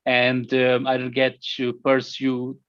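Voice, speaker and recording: A man's voice: mid-range voice, monotone, slow, fairly bright tone, clean tone, dry recording, highs cut off. A man from India with an Indian accent, answering interview questions in a hesitant way with many fillers and restarts. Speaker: interview guest.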